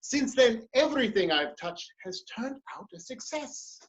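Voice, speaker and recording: A woman's voice: on the high side, expressive, unhurried, muffled recording. A woman playing a proud, ambitious male politician in a dramatic play reading, speaking with theatrical emphasis and defiance. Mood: defiant, proud.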